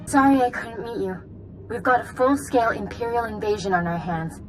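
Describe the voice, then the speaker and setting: A woman playing a resolute knight commander in a sci-fi video game, giving brisk, earnest, authoritative briefings. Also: fairly high pitch, music in the background, natural voice, rough recording. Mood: resolute, urgent. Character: resolute female Jedi Knight, military commander. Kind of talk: video game dialogue acting.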